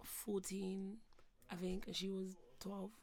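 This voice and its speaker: A young woman, co-hosting a podcast in playful, loud casual chat. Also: medium pitch, completely flat delivery, slow pace. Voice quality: husky. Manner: loud, playful, switches accents. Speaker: podcast co-host.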